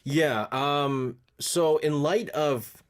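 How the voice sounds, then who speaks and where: A man hosting a radio show in casual chat, animated and laughing often. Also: drawn-out words. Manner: animated, laughs often, swings between high and low. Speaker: radio host.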